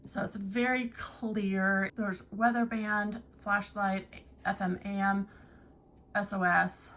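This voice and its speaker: A woman's voice: mid-range voice, flat delivery, takes it slowly, a bit bright. A woman giving a casual, plain-spoken product review, explaining features as she shows them. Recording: clear background noise, handling clicks.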